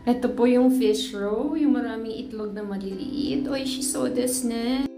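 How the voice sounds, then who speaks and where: A woman's voice: fairly high pitch, normal speed. Filipino woman food vlogger, music behind. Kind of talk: vlog narration mixing casual chat and presenting.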